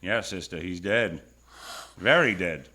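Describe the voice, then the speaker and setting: Man playing a lively, wisecracking hardboiled private eye. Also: low voice, takes it slowly, exaggerated voice. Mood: probing, wry. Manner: wisecracking, tough, world-weary slang. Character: wry hardboiled male private detective narrating his case. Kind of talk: old-time radio noir acting, first-person narration. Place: stage of live radio theatre.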